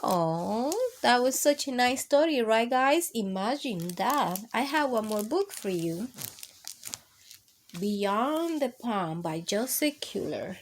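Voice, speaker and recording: A woman hosting a children's storytime, reading picture books aloud expressively with drawn-out emphasis for young listeners. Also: fairly high pitch, expressive, a little hiss or hum. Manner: drawn-out, emphatic delivery addressed to small children.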